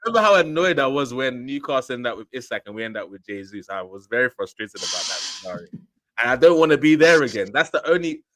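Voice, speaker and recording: A man with a Nigerian accent co-hosting a football podcast, stop-start and hesitant, his loudness rising and dropping. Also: mid-range voice, sing-song, fast talker, no echo, poor audio. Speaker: podcast co-host. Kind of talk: casual football chat.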